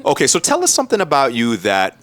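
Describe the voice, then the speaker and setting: A man's voice: mid-range voice, wildly swooping pitch, fast talker, a bit bright. A man hosting a casual radio interview, playful and jokey as he asks his questions.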